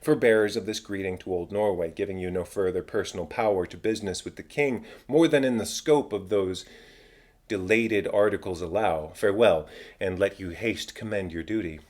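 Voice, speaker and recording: A man performs a middle-aged king from a Shakespeare play. He speaks in a fairly low voice, stately and declamatory. His authority is smooth and formal, as in a dramatic audiobook. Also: moderate pace, a little mellow.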